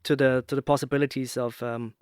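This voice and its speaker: A man's voice: medium pitch, little pitch movement, fast talker, darkish tone, clear, resonant tone, no room sound. Male podcast host, mid-range voice, hesitant conversational musing, dry room-free sound. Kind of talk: casual conversational interview.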